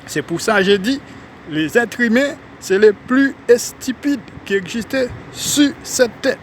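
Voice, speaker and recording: A man from Haiti with a Haitian French accent, talking casually to viewers while walking outdoors on a city street and addressing them as ladies and gentlemen. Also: sits high, expressive, measured pace, a little hiss or hum. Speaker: vlogger. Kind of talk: casual walking vlog monologue.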